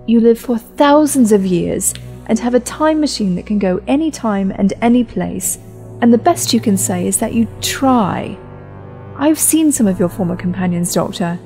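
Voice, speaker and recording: An actress as a worn-out young woman traveller in an audio drama. Her voice sits fairly high with a mellow, dark tone. She speaks with sarcastic exasperation, over music. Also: animated, moderate pace. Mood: bitter, irritated. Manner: exasperated, sarcastic sighing delivery.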